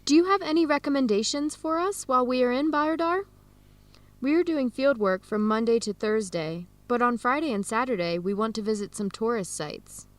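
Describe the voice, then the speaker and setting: A woman's voice: on the high side, lively intonation, fairly quick, no echo, close to an everyday voice. A woman acting an earnest young adoptee in a radio drama, speaking openly with an American accent. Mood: friendly.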